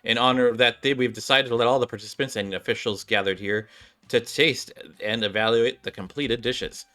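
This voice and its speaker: A man's voice: mid-range voice, fairly even intonation, bright, forceful tone, lightly acted. Man putting on a grand, formal contest announcer. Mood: formal, emphatic. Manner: formal, measured, drawn out.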